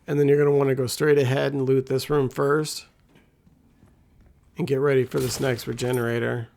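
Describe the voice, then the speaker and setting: A man's voice: medium pitch, level tone, moderate pace, a little mellow. Scratchy-voiced man talking through a game. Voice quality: scratchy, gravelly voice. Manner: laid-back, rambling, lots of fillers. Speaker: gameplay guide host. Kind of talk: casual walkthrough commentary.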